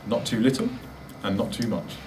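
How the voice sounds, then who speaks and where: A man's voice: on the low side, sing-song, normal speed, far from the mic, noisy background. A man giving an instructional presentation, as a presenter of practical advice. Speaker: presenter giving interview tips.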